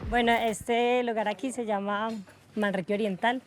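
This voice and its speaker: A woman's voice: on the high side, fairly even intonation, moderate pace, over music. A young woman with a Medellín Colombian accent, talking in an earnest, reflective way about her life as a breaking teacher. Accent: Colombian Spanish, Medellín. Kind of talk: documentary interview and walk-through.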